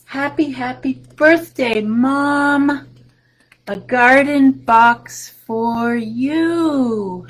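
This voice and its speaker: A woman in her sixties, a children's librarian, reading a picture book and showing plants to young children over a webcam from a room at home, in a sing-song voice with excited rises. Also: high-pitched. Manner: warm, sing-song and slow, with big excited rises for kids. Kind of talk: storytime reading and show-and-tell for children. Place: home room with bookshelves, indoors. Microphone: webcam video call.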